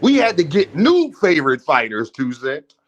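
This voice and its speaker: A man's voice: on the high side, huge pitch swings, normal speed, lo-fi sound. A man hosting a casual boxing panel stream, talking emphatically and cursing freely. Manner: emphatic, swears freely, repeats names.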